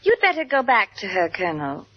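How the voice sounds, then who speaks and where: An actress playing a cool, coquettish and defiant widow in a theatrical 1950s radio style. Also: female voice, medium pitch, huge pitch swings, brisk. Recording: dull 1950s radio recording.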